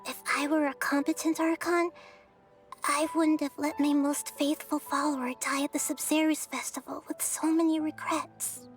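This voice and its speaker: Woman as a high-voiced, gentle, wise child goddess. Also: flat delivery, moderate pace, faint music behind, lightly acted. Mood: wistful. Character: gentle wise childlike young goddess, thoughtful and self-doubting. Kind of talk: English video game dub acting.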